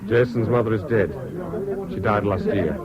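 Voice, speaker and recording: An actor playing an earnest, possessive young student companion in a radio drama. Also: male voice, on the low side, moderate pace, close to an everyday voice. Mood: earnest, protective. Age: young adult. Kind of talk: 1970s British radio drama acting. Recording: muffled old radio, crowd murmur behind.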